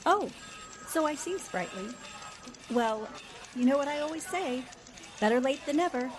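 High-voiced breezy young newspaperwoman. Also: animated, normal speed, soft background music. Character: clever young newspaper columnist, breezy and unruffled. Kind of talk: 1940s radio drama acting.

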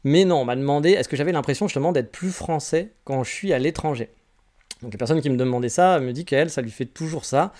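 Forties, male, from France; solo podcast host, quick and chatty, frank rambling advice. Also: a little high, darkish tone. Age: 40s. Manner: candid, rambling advice with frequent restarts. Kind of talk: casual solo podcast answering listener questions.

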